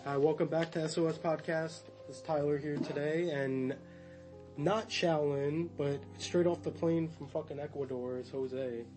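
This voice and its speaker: A man's voice: on the high side, steady tone, measured pace, darkish tone, soft background music. A young man from Long Island hosting a podcast in casual chat, laid-back, swearing freely and laughing often. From Long Island, New York. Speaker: podcast host.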